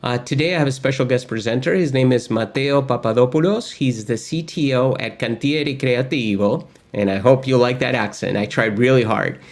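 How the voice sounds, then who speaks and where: A man's voice: mid-range voice, moderate pace, hardly any pauses. A man hosting a live online tech lesson, chatting casually with lots of filler words. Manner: chatty, friendly, many fillers. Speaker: course host. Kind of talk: casual livestream lesson intro.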